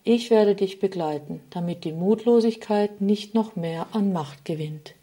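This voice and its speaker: A woman voicing a small, gentle old woman named Hope in a storybook, speaking softly and comfortingly. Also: mid-range voice, measured pace, close to an everyday voice. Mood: tender. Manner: soft, tender, comforting.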